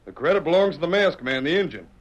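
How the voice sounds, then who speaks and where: An actor playing a fair-minded, plainspoken sheriff with folksy authority in a 1930s Western radio drama. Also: male voice, fairly high pitch, lively intonation, fast, lo-fi sound, close to an everyday voice. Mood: reassuring.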